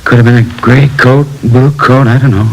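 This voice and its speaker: An American actor playing a shaken, plain-spoken grocery clerk witness in a radio crime drama, halting and earnest. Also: male voice, medium pitch, steady tone, vocal fry, close to an everyday voice. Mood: earnest recalling. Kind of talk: 1950s radio drama acting. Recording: noisy old radio recording.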